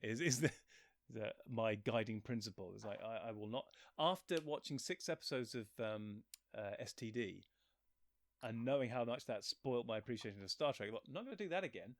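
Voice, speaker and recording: A man in casual, opinionated chat as a guest, griping in an animated, blunt and sweary way. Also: mid-range voice, moderate pace, no room sound. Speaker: guest, fan-fiction author.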